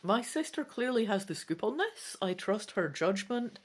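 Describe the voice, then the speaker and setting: A woman plays through a storybook adventure, shifting between dramatic reading and casual commentary. Her pitch is middling and moves around a great deal, giving an animated delivery. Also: moderate pace, slightly rough. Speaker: gamebook player and reader.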